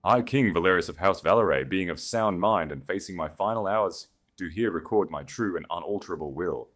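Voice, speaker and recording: A man voicing a solemn, commanding guard captain in a storybook, proclaiming formally and forcefully. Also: low voice, brisk.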